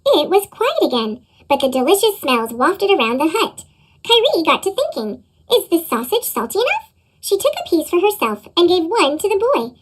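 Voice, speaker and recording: A woman reading a children's story aloud through a high, sped-up chipmunk voice effect. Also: very animated, moderate pace, low-quality recording. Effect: sped-up chipmunk pitch effect. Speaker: storybook reader. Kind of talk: children's read-aloud narration.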